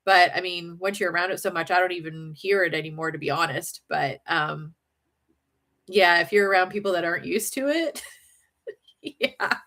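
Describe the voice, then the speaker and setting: A woman's voice: lowish voice, normal speed, a bit bright. A woman with a slight Southern accent, hosting a casual livestream chat in a rambling, chatty way. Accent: slight Southern American. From northern United States. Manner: chatty and rambling, many fillers and giggles. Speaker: livestream host.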